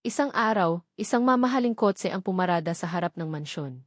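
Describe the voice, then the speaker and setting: A woman's voice: lowish voice, animated, a little fast, dry recording, clean studio sound. A woman with a Filipino accent reads a scripted romance story as a dramatic narrator.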